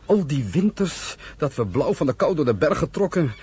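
Young migrant brother in an old radio play, emotional, raspy voice, kinda high for a man. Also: big pitch swings, normal speed, darkish tone, full character voice. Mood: bitter, disillusioned. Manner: impassioned, exclaiming. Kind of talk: Dutch radio play acting.

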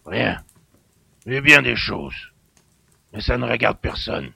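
Man voicing a gravelly, surly country innkeeper. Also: mid-range voice, sing-song, slow, pushed and bright, a little hiss or hum, heavily acted. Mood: guarded, grumbling. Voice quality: gravelly, growling. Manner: rustic colloquial speech, grumbling. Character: surly, grumbling middle-aged country innkeeper, resentful and guarded.

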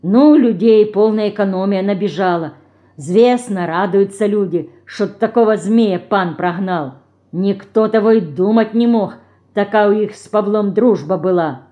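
A woman voicing an excited, gossipy peasant girl from a Ukrainian village, with a Ukrainian accent, in a storybook reading. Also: medium pitch, a little slow, lightly acted.

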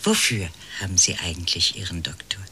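An actress playing a polite, hesitant elderly lady, a detective's client in a radio crime drama, speaking in measured, breathy phrases. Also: a bass voice, pushed and bright, heavily acted. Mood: polite, reserved. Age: 60s or older.

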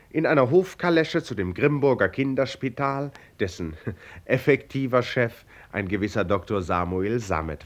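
An actor playing a wry storyteller, narrating a radio play about royalty with ironic, mock-ceremonious relish. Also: male voice, mid-range voice, close to an everyday voice. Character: wry, mocking male storyteller of a royal tale.

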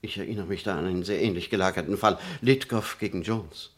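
Sober middle-aged attorney for radio drama: fairly deep voice, dry, exact, matter-of-fact. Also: male voice. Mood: sober, concerned. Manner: measured, dry, explanatory. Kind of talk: German radio play acting.

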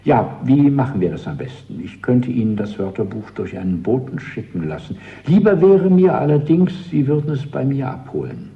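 An actor playing a courteous, guarded male party official in a radio drama, speaking politely and deliberately with an insinuating undertone. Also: mid-range voice, sing-song, highs cut off.

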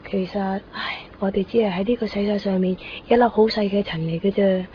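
A woman's voice: mid-range voice, fairly even intonation, fairly quick, natural voice. An enigmatic teenage girl with a Hong Kong accent , played by a woman, speaking in a medium register with wry resignation. The radio drama sound is muffled, with faint noise behind her. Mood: resigned. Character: enigmatic, fatalistic teenage schoolgirl, quietly resigned.

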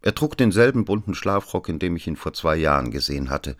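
Casting: bass-range investigator-narrator for radio drama, literary and dispassionate. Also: male voice, sing-song, fairly quick, no echo, clean studio sound. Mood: detached, measured. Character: examining magistrate narrating wryly, strong male friend of a count. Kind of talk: scripted first-person radio drama narration.

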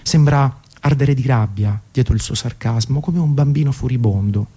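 A man in his thirties reading a scripted, literary radio narration in a measured, poetic way. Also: mid-range voice, warm tone, breathy edge. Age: 30s. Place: radio broadcast.

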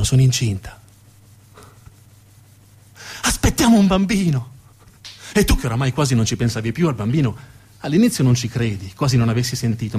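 A man narrating a theatrical monologue as a stage actor, dramatic, with emphatic repetitions and deliberate pauses. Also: mid-range voice, a little mellow, breathy edge. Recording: music behind the voice.

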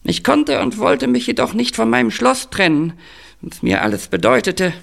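A man's voice: very high voice, wildly swooping pitch, moderate pace, gravelly, a bit bright, exaggerated voice. A man playing a proud, theatrical ageing film star confessing his scheme in an audio drama, dramatic and emphatic. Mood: dramatic confession.

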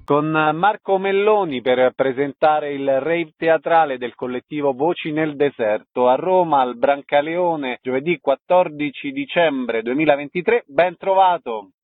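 A man's voice: on the high side, unhurried, hardly any pauses, dry recording, faint music behind. A man hosting a radio interview and introducing a guest, with muffled sound. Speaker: radio host.

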